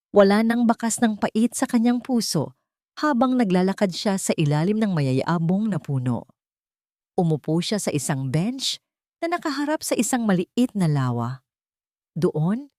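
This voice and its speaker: Female storyteller, Filipino accent, lowish register, dry close sound, scripted romantic drama narration. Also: dark tone, no room sound.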